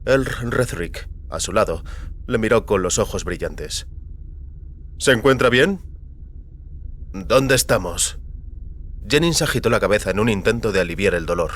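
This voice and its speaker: A man's voice: fairly low pitch, lively intonation, brisk, slight background noise. A man narrating scripted audio fiction as a storyteller.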